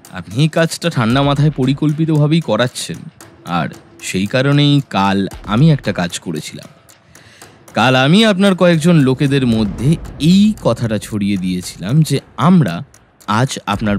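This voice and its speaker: Male, shrewd and confident audio-drama detective, played by an actor: lively inflection, methodical accusing, music underneath. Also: mid-range voice, natural voice. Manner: probing, methodical, accusatory explaining. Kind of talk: Bengali audio story acting.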